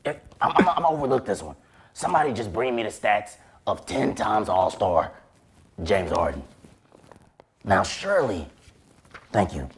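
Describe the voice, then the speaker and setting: A man's voice: fairly low pitch, very animated, moderate pace, long pauses. A young man playing an exasperated, sarcastic basketball head coach in sketch comedy, with a scratchy, strained voice and indignant rhetorical questions. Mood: grimly calculating. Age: young adult. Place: small bright indoor room.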